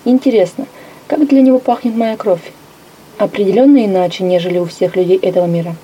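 Mellow-voiced woman narrating a story. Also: medium pitch, a little fast, highs cut off, a little hiss or hum. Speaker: audiobook narrator. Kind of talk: first-person story narration.

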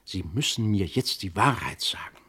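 An actor playing a shrewd, insistent police commissioner who questions with pressing authority in a German radio crime drama. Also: male voice, fairly low pitch, lively intonation, normal speed, bright, forceful tone, husky, heavily acted. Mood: probing.

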